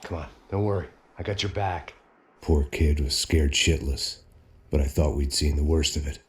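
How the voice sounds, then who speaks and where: An actor playing a weary, cynical middle-aged American ex-cop bodyguard in a noir video game, deadpan and sardonic. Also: male voice, a bass voice, moderate pace. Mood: curt, commanding. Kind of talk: hard-boiled noir game narration and dialogue.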